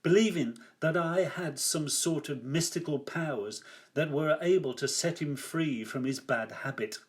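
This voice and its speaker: An older man reading his own first-person story aloud like an author at a reading, theatrical and wry, with deliberate emphasis. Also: on the high side.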